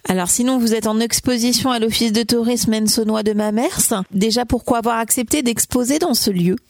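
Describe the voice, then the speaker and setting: A woman's voice: fairly high pitch, a little fast, darkish tone, no room sound, soft background music. A woman interviewing on radio, putting prepared questions and making short announcements.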